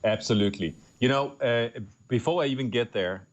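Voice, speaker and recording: A man's voice: mid-range voice, a little fast, highs cut off. A middle-aged man with a Danish accent, a tech executive answering interview questions earnestly, often restarting his phrases. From Denmark. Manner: earnest, with frequent restarts and repeated words. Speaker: tech company executive guest.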